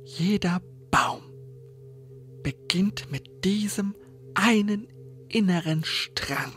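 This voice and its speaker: Horror audio drama: brooding, unhinged male castaway, played by an actor, halting and fluttery, near whispering, very slow delivery. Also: high-pitched, a bit bright, faint music behind, exaggerated voice. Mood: brooding, eerie calm. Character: unhinged male survival contestant, brooding over tree rings.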